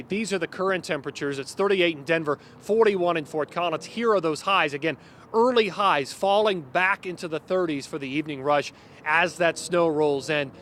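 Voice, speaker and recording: An American man presenting a live weather forecast from an outdoor deck, with slight rumble behind him. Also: a bit bright. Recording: faint outdoor rumble and noise.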